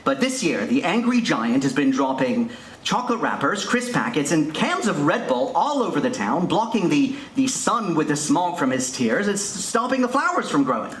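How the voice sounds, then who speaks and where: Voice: medium pitch, big pitch swings, moderate pace, close to an everyday voice. A performer playing a hapless, pompous town mayor in a comic stage pantomime, hesitant and dithering. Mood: flustered, prim. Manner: hesitant, dithering, swooping into wails. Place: indoor theatre stage. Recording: echoey theatre stage, ambient noise.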